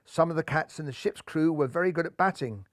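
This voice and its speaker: An older man reading a children's picture book aloud in a lively way, seated indoors with a clip-on mic. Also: fairly high pitch, brisk, no echo, clean studio sound. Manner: lively, does voices for characters. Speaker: storybook reader. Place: indoor room full of cuddly toys.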